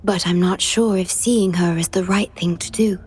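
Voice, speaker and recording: An actress playing a gentle, solemn guardian with a measured, soft delivery in a video game. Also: female voice, lowish voice, fairly even intonation, a little hiss or hum, close to an everyday voice.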